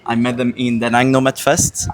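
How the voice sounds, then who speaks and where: A man from Syria hosting a relaxed, informal interview and chatting easily with his guests. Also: medium pitch, normal speed. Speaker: host. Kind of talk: casual interview.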